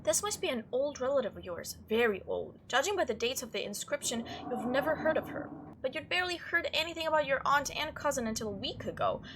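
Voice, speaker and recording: A young woman narrates a visual novel as she plays. Her voice is high and highly animated, moving between expressive reading and playful asides. The home microphone recording carries clear noise. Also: quick pace, hardly any pauses. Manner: animated, expressive read-aloud. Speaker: game streamer.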